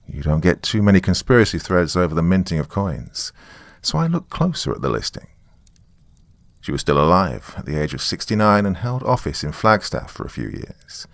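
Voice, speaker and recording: Man playing a deep, gravelly, sardonic storyteller. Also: no room sound, heavily acted. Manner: sardonic, dry, confiding. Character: wry, jaded divorced small-town man recording his account. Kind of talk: first-person horror story narration, conversational.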